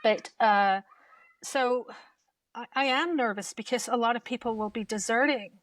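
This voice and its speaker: A woman's voice: fairly high pitch, moderate pace, a bit bright, no echo. A woman from Wales with a Canadian-tinged accent, a guest on a political podcast, talking earnestly with dry asides. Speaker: guest journalist. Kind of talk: casual political chat.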